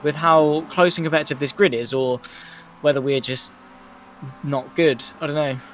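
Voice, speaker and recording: A man's voice: fairly high pitch, steady tone, moderate pace, faint music behind, poor audio. A British man co-commentating on motor racing, rambling and self-deprecating, often laughing at himself. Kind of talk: live sim racing commentary and banter.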